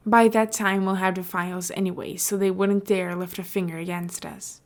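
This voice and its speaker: A woman reading the part of a calculating male mayor and would-be villain in a story, persuasive, measured and businesslike. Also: on the low side, little pitch movement, natural voice.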